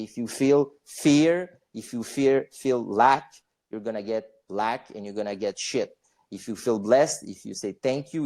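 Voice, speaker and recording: A man's voice: on the low side, darkish tone. A young man born in Bosnia, guest on a podcast, chatting casually in a rambling way, with frequent fillers, restarts and swearing. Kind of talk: casual interview chat.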